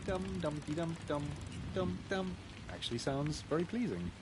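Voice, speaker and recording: A man's voice: a little high, animated, normal speed, lightly acted. A man voicing an inquisitive, principled pig detective in a video game, often musing to himself. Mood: curious, musing. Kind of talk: acted game dialogue. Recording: very noisy game capture.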